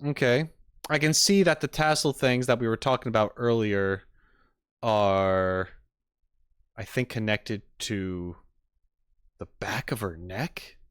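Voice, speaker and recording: A man's voice: on the low side, takes it slowly, pauses often, clear, resonant tone. A man casually chatting and reacting on a livestream, with easygoing asides muttered to his viewers. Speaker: streamer reacting to game videos.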